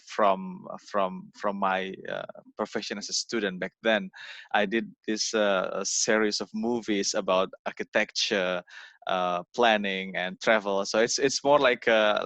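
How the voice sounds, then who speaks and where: A young man from Indonesia, giving an informal talk over a video call, halting with many fillers and repeated words. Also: on the low side, fairly bright tone, no room sound. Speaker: guest speaker. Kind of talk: informal online presentation.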